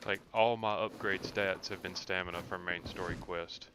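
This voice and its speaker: Low-voiced man commentating over clear background noise. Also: fairly even intonation, moderate pace. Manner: thinks aloud, explains tactics. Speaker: video game streamer. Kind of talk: casual live gameplay commentary.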